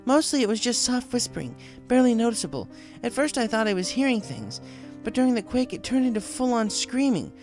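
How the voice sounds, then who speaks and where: Male reader as adult female pony, anxious, very high pitch, storybook shopkeeper. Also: very animated, a little fast, a little mellow, soft background music, heavily acted. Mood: frightened.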